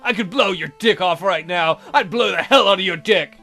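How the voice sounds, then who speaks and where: A man voicing an angry masked vigilante from a visual novel, making crude, forceful threats. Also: sits high, moderate pace, full character voice. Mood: menacing, angry. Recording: background music under voice.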